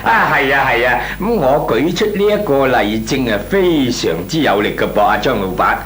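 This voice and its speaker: A man plays a wheedling, nervous employee with a Hong Kong accent in a comic radio drama. His mid-pitched voice is very lively, stammering deferentially and then arguing with cheeky pomp. There is faint hum and hiss on the old recording. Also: normal speed, close to an everyday voice. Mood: cheeky insistence. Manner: stammering, deferential, argues pompously.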